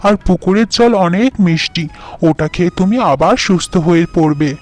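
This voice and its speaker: Kindly talking monkey for a fairy-tale cartoon, played by a man: reassuring, fairly high male voice. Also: normal speed, close to an everyday voice. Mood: friendly, reassuring.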